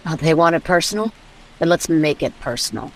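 A woman's voice: low-pitched, lively intonation, brisk, soft background music, low-quality recording. An actress playing a tough, decisive wasteland leader and mother with a firm, wry manner in a radio drama. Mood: resolute. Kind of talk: old-time radio drama acting.

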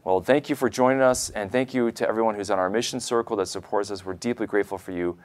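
A man's voice: fairly low pitch, quick pace, a little hiss or hum. A man hosting a conversational interview, speaking earnestly and often restarting his phrases. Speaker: show host.

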